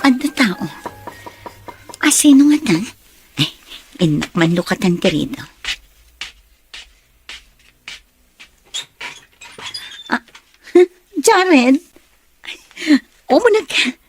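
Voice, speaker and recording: A woman acting a scheming, envious young woman in a radio drama, with a husky, theatrical delivery. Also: high-pitched, wildly swooping pitch, slow, pauses often, soft background music, heavily acted. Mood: bright, surprised.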